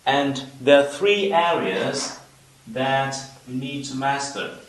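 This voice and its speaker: A young man with a Vietnamese accent, a native Vietnamese speaker teaching English in a careful, deliberate video lesson. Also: medium pitch, echoey room, slight background noise, rough recording. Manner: careful, deliberate explanation with pauses and fillers. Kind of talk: slow instructional video lesson.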